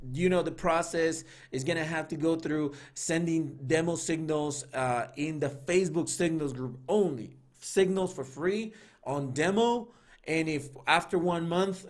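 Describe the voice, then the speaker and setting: A man hosting a trading signals livestream, talking casually and loosely with many fillers and restarts, and reading out the live chat. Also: a little high. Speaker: trading signals group host.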